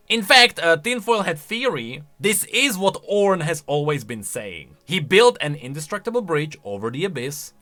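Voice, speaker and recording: Male gaming lore commentator: lively swinging pitch, bright forceful tone, conversational and speculative, faint music behind. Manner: conversational, speculative, rhetorical questions. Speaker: video game lore commentator. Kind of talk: casual reaction commentary.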